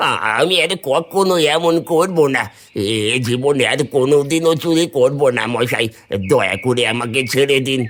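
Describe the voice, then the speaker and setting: A man's voice: mid-range voice, measured pace, fairly bright tone, lightly acted. A man voicing a sneaky, cowardly livestock thief in a cartoon, repeating himself and stammering out exclamations. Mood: pleading. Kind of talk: cartoon voice acting.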